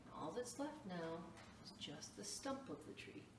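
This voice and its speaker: A woman's voice: lowish voice, big pitch swings, measured pace. A woman hosting storytime, reading a picture book to children and explaining it in simple phrases. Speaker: library storytime host. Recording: echoey room, distant mic, faint noise.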